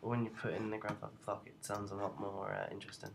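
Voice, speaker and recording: A young man giving an informal software tutorial in a hesitant, halting way. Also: fairly low pitch, little pitch movement, vocal fry, heavy background noise. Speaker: student tutorial presenter.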